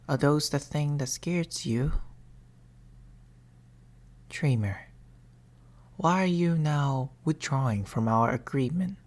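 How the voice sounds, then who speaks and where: A woman voicing a patient, mournful male sleep paralysis demon in a hushed, formal ASMR roleplay, often whispering close to a binaural microphone. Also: rumbling low, heavily acted. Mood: coaxing, eerie.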